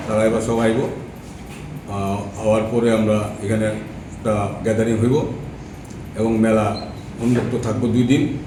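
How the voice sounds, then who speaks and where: A man with a Tripura Bengali accent speaks haltingly as an association spokesman briefing a press conference. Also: mid-range voice. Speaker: trade association secretary, spokesman. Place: press meet in an echoey room. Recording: echoey, distant mic, noisy room.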